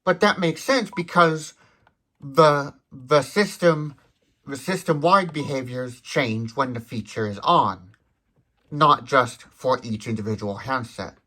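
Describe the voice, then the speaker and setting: A mid-pitched voice of a product demonstrator talking through a device without a script. The delivery is explanatory and thorough, moving from one detail to the next. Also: takes it slowly, bright, forceful tone. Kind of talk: unscripted tech demonstration.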